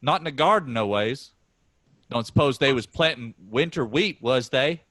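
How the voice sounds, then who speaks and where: A man reading the part of a gruff, scornful middle-aged farmer father, quick to anger. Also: medium pitch, lively intonation, a bit bright, no room sound. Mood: angry. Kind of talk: staged play reading.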